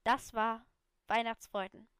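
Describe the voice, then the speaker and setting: A woman reading her own fan fiction aloud as an amateur, in expressive first-person narration. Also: a little high, measured pace, clean tone, dry recording, studio quality.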